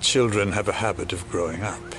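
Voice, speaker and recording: A man's voice: low-pitched, steady tone, music in the background, lightly acted. Actor as a sinister, knowing older male conspirator. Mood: smug. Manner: cryptic, condescending, deliberate. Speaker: actor playing a shadowy villain. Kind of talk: audio drama acting.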